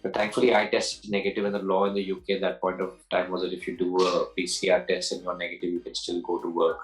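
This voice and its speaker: Low-voiced man in his forties, chatty. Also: completely flat delivery, fast, faint music behind, low-quality recording. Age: 40s. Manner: rambling, restarts and repeats words, laughs easily. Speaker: actor being interviewed. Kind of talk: casual interview answers.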